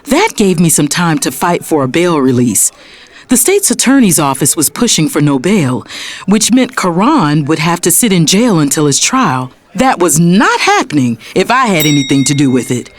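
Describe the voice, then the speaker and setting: A woman's voice: low voice, sing-song, normal speed. A woman acting in an audio drama as a determined, righteous young lawyer with an American accent, earnest and no-nonsense. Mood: resolute, reflective. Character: determined, righteous lawyer sister telling her story. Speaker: narrator and character. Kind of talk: first-person dramatic storytelling.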